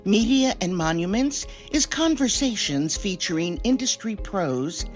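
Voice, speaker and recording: A woman's voice: medium pitch, lively intonation, measured pace. A woman announcer reading a scripted podcast introduction with music behind her. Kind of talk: scripted narration.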